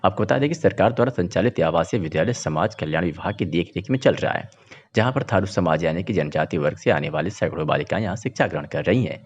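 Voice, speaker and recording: Male regional news voice-over, low-pitched, slightly lo-fi sound, reading from a script. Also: lively intonation, fast talker. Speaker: news reporter and narrator. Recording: dull, muffled voice-over.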